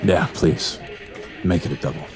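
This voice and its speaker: An actor playing a hard-drinking, lustful barfly in a noir audio drama, with a slow, breathy, brooding delivery. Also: male voice, low voice, lightly acted. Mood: weary, friendly. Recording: music bed behind the voice.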